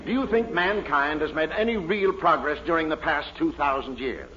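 An actor playing an earnest, awestruck newspaper reporter in a 1940s radio comedy, recorded with an audience on muffled, noisy equipment. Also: male voice, a little high, animated, normal speed, sharp tone. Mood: earnest, inquiring. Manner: deferential, admiring.

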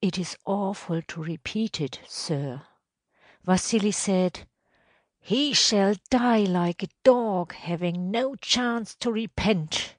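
A woman voicing a reluctant, deferential male village elder in a storybook reading, reporting in a measured, hesitant way. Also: mid-range voice, no room sound, voice pushed up. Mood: uneasy.

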